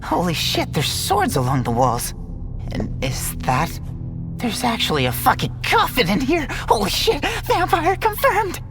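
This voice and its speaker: A woman playing an excitable teenage boy obsessed with the paranormal, talking to himself in an audio drama over music. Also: a little high, measured pace, full character voice. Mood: excited, awed. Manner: muttering to self, exclamatory.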